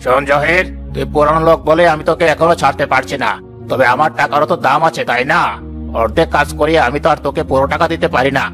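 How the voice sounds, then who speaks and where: A man's voice: fairly high pitch, steady tone, a little fast, fairly bright tone, lightly acted. A man voicing a stingy, domineering village boss in a cartoon fairy tale, dismissive in the way he talks. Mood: cold, dismissive. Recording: music behind the voice.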